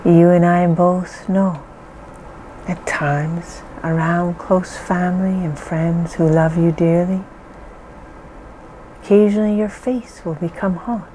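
Woman, guided-meditation guide: very slow, mellow, reassuring, faint hiss behind. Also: lowish voice, fairly even intonation, poor audio. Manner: soothing, reassuring, deliberate pauses.

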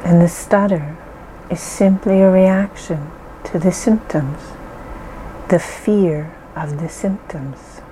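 Slow, soothing woman guiding relaxation. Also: lowish voice, dark tone, a little hiss or hum, rough recording. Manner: soothing, reassuring, deliberate pauses. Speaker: guided relaxation guide. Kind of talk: slow calming guided meditation.

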